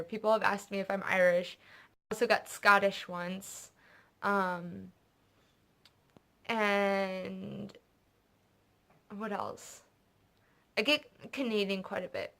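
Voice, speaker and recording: A woman's voice: medium pitch, bright, forceful tone. A young woman from North America hosting a knitting vlog, chatting casually and rambling off on tangents with lots of fillers. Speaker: knitting podcast host. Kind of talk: casual chat showing purchases.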